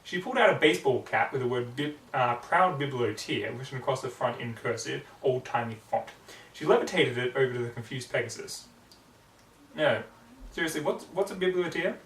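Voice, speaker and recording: A man's voice: medium pitch, brisk, fairly bright tone, far from the mic. A man reading a story aloud as narrator in a hammy, theatrical style. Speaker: amateur story reader. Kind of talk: hammy fan fiction narration. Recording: echoey room, off the mic.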